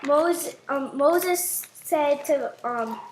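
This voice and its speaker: Puppet show narrator, female: high-pitched, slow, halting, improvised, in an echoey room with some noise. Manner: halting, pausing between phrases. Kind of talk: simple improvised storytelling.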